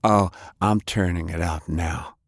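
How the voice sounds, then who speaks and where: Hard-bitten, proud female fighter, done by a male narrator, quick-tempered and menacing, dry audiobook sound. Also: sits low, vocal fry, slightly rough, no echo, heavily acted. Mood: angry threat. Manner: scornful, threatening. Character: tough, hot-tempered woman brawler, scornful and proud.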